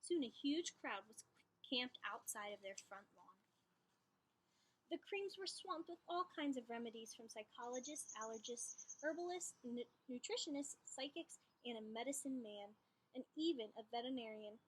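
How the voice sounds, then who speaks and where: A woman narrating a children's storybook aloud in an expressive style. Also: a little high, normal speed, breathy edge, rough recording. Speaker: storybook reader.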